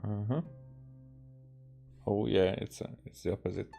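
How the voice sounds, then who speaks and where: A man's voice: on the low side, fairly even intonation, measured pace, vocal fry, dark tone, soft background music. A man from Hungary, giving casual let's-play commentary as he mutters and thinks aloud. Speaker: game streamer.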